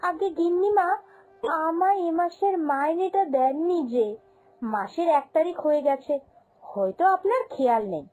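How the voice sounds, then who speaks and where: A woman's voice: extremely high pitch, expressive, moderate pace, warm tone, lo-fi sound. A woman voicing a humble, honest young female shop servant in a cartoon, speaking earnestly and plaintively. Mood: worried. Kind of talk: cartoon fairy-tale voice acting.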